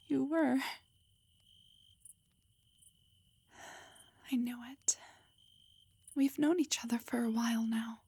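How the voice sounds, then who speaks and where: Woman doing a loving elf wife for roleplay audio, super whispery n airy, a bit high pitched, tender and protective. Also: a little slow, warm tone, no room sound, full character voice. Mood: gentle, apologetic. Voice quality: breathy, whispery. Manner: tender, reassuring. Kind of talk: intimate roleplay audio, soft whispery acting.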